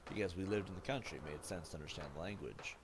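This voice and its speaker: A man's voice: deep voice, fast. A man in his thirties from the United States, chatting casually while streaming a game, rambling with lots of fillers and self-corrections. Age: 30s. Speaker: gaming streamer. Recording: steady background noise.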